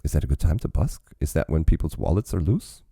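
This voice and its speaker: Reaction host, forties, man: rumbling bass voice, dark tone, dry close sound, chatty and meandering. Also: lively intonation, quick pace, creaky, slightly rough, no echo. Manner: musing, rambling aloud with frequent restarts. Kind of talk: casual reaction commentary.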